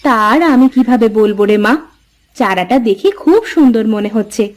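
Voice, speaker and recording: A woman's voice: a little high, moderate pace, natural voice. A woman voicing a practical, brusque village mother in a cartoon fairy tale. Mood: gentle.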